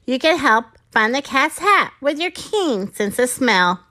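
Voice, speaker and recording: Lady doing a polite little girl in a kids book, bright and high, asking stuff. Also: very animated, a little slow, full character voice. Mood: hopeful. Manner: courteous questions in rhyme. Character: polite, questioning young storybook girl.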